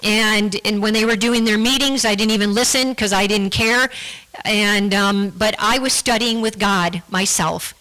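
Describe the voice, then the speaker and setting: A woman giving a personal testimony in church, earnest and halting, often restarting her words. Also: medium pitch, steady tone, sharp tone, rough recording. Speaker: guest speaker giving a testimony.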